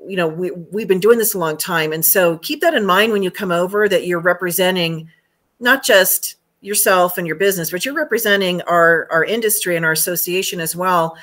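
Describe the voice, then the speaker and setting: Bright-voiced American woman, chatty. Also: lowish voice, fairly quick. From United States. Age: middle-aged. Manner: chatty, rambling. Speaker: host, home staging trainer. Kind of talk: casual chat. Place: indoor room at home. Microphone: video call.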